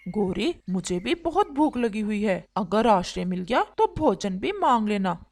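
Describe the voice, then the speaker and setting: A woman's voice: mid-range voice, sing-song, measured pace. A woman voicing a mild, agreeable crow husband in a cartoon story, a little doubtful and deferring. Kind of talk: Hindi cartoon storybook dialogue.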